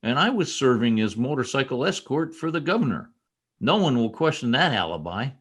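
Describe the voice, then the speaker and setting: A man's voice: medium pitch, sing-song, brisk, a bit bright, husky. A man playing an earnest, protective state trooper in an old time radio drama, dramatic and reassuring, recorded over a video call. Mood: grave, concerned.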